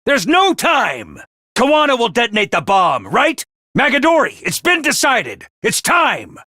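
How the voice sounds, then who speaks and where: A man's voice: extremely high pitch, wildly swooping pitch, a little slow, sharp tone, a little hiss or hum, heavily acted. A man voicing a chirpy, scheming male mascot creature who makes cheery announcements and turns snide and callous. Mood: announcing. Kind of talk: video game voice lines. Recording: dry studio recording.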